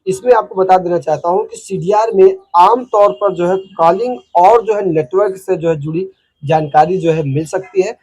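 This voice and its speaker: A man's voice: high voice, moderate pace, a little mellow, rough recording. A man with an Indian accent hosting an informal explainer, making points emphatically and repetitively with frequent filler phrases. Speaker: host of a legal explainer channel. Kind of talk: informal explainer talk to viewers.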